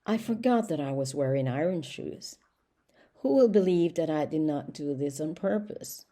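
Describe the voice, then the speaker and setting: A woman reading the lines of a big, gentle male cart horse in an audiobook, speaking sorrowfully. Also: low voice, lively intonation, moderate pace. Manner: sorrowful, soft-spoken.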